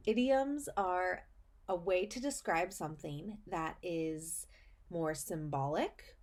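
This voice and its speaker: A woman teacher reading a story aloud for children, explaining as she goes and pausing to put questions to the listener, with emphasis on key phrases. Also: fairly low pitch, darkish tone. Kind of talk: read-aloud lesson for children, asking questions.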